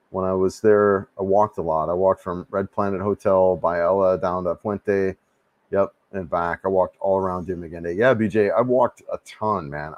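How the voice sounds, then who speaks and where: Laid-back man in his fifties, low voice. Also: no echo, dull audio. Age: 50s. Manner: rambling, restarts often, laid-back and friendly. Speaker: livestream host answering chat. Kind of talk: casual live chat. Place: home room.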